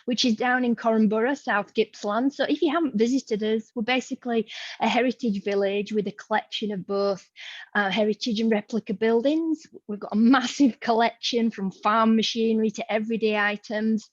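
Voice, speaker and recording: A woman's voice: on the high side, fairly even intonation, normal speed, no room sound. A woman in her thirties with a Yorkshire accent, a museum education leader talking informally and chattily to colleagues. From Yorkshire, England. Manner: friendly and chatty, with ums. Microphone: video call. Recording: dry, muffled video-call audio.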